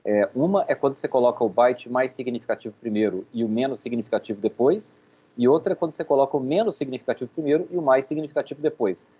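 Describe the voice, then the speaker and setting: A man's voice: mid-range voice, fast, slightly rough. A middle-aged man with a Brazilian Portuguese accent, a professor giving informal feedback in a hesitant, explanatory way on muffled audio.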